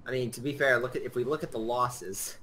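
A man talking with friends over a strategy game. His voice sits at a middle pitch. He teases his friend and accuses him in a dry way. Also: fairly even intonation, fairly quick, fairly bright tone. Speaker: gamer playing with friends. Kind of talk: casual multiplayer gaming chat.

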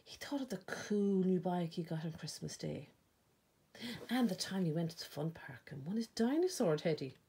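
An Irish woman reading a children's picture book aloud expressively, with dramatic pauses and emphasis. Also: fairly low pitch, lively intonation, vocal fry, warm tone, slightly rough. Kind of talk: storytime read-aloud narration.

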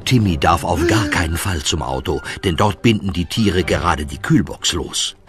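A man's voice: sits low, expressive, normal speed. A man narrating a children's audio play, telling the story with dramatic phrasing. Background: music.

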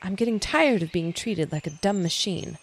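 A woman's voice: fairly low pitch, expressive, moderate pace, slight background noise, close to an everyday voice. A woman playing a frustrated, insistent female android in an audio drama, terse and demanding.

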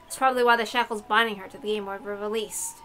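Bright-voiced woman reading a game, noisy recording. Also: mid-range voice, steady tone, fast. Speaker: streamer reading a visual novel aloud. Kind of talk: casual commentary on a game read-aloud.

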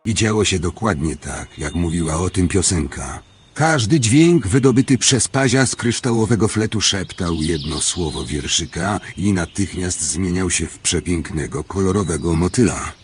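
An actor playing a grand male clock master who tells fairy tales in an audio drama, with a husky voice. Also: deep voice, lively intonation, normal speed, slight background noise. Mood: storytelling. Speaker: storyteller.

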